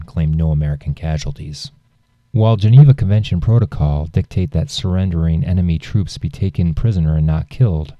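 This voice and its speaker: A male narrator reads nonfiction from a script in a low, very dark and soft-edged voice. His delivery is measured and serious. The sound is close and dry, with little room around it. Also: no room sound, dull audio. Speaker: audiobook narrator.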